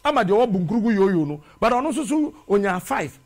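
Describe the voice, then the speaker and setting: A middle-aged Ghanaian man hosting a TV studio show, delivering a preachy, animated monologue in Twi and English, repeating phrases rhythmically into a lapel mic. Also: high voice, fast talker. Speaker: TV host. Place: TV studio with brick wall.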